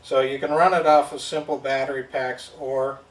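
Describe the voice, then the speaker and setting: A man's voice: mid-range voice, level tone, moderate pace, far from the mic. A man giving a plain, step-by-step product demonstration at a table indoors. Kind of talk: hands-on product demo. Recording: roomy sound from off-mic.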